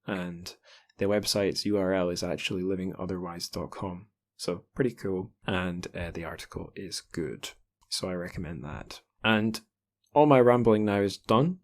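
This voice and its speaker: A man's voice: low-pitched, normal speed. A Scottish man hosting a podcast, chatting casually with rambling asides. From Scotland. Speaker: podcast host. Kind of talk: casual podcast chat and intro.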